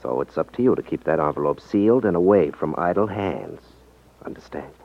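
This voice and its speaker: An actor playing a tough, determined private detective, terse and firm, in a 1950s radio drama. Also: male voice, very deep voice, expressive, brisk, creaky, heavily acted. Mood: stern warning. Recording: muffled 1950s radio recording.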